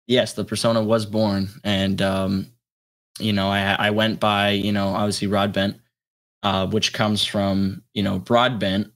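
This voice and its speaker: A young adult man chatting casually as an interview guest, with a halting, rambling delivery. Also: fairly low pitch, level tone, fairly bright tone. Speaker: guest, solo electronic musician.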